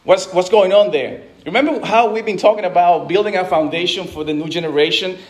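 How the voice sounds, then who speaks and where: A middle-aged pastor from the Dominican Republic preaching a sermon in a conversational way, with asides and frequent restarts. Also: male voice, sits high, a little fast.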